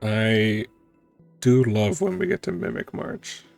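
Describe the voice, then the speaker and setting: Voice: medium pitch, animated, slow, vocal fry, darkish tone, soft background music. A streamer giving casual, informal gameplay commentary in a musing manner. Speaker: streamer playing and reacting to a game.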